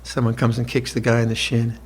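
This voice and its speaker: A man's voice: medium pitch, monotone, breathy edge. Reflective man in his seventies. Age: 70s. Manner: slow, reflective, with soft laughs and restarts. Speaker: monk teacher. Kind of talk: evening dharma talk. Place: retreat hall with listeners.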